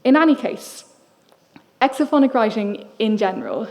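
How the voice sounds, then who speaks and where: A woman's voice: fairly high pitch, big pitch swings, normal speed, a little mellow, rough recording. A young adult woman from Ireland giving a thoughtful, wry public lecture in a reflective manner.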